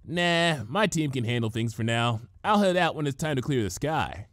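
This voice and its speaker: Man doing a brash, offhand young pegasus mare, raised pitch. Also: lively intonation, normal speed, a bit bright, no echo, studio quality, natural voice. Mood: casual. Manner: offhand, sceptical. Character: brash, casual young female flying pony.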